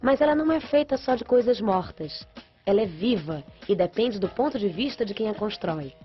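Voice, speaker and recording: A woman narrating a documentary with steady, measured delivery, her voice in a middle range. The recording is dull and lo-fi, with clear music playing underneath. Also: mid-range voice. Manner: measured, even, informative. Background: background music.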